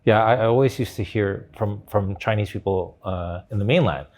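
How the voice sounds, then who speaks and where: A man's voice: lowish voice, moderate pace, darkish tone. A middle-aged man with a Canadian accent, interviewing a guest conversationally as a podcast host.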